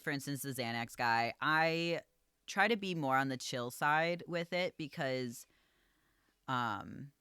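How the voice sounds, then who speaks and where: Calm, bright-voiced man chatting casually. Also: medium pitch, clean tone, no echo, studio quality. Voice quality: projecting voice. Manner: calm, easygoing, filler-heavy with lots of "like" and "um". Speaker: coffee shop manager. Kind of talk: casual podcast chat.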